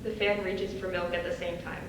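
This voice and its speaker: Woman narrating a script, lowish voice, far from the mic, big echo and room noise. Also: little pitch movement, moderate pace. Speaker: stage-direction narrator. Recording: distant echoey hall, clear background noise.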